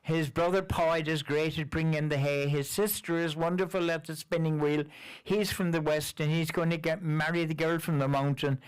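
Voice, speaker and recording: Joking Irish man with a smooth voice. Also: a little high, normal speed, poor audio. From Ireland. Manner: relaxed, joking, rambling announcements. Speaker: folk musician. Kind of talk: stage banter between songs. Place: coffee house concert stage.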